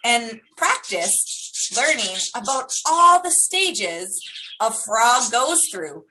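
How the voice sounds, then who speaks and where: Bright, high-pitched woman telling children a story. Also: low-quality recording. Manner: animated, simple child-directed delivery with drawn-out emphasis. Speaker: children's storytime host and teacher.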